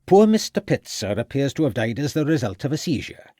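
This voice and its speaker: Guy doing a welsh old country doctor, pompous, higher voice, lively, dry audiobook sound. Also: a little fast, dry recording. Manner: pompous, assured. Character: self-important elderly country doctor.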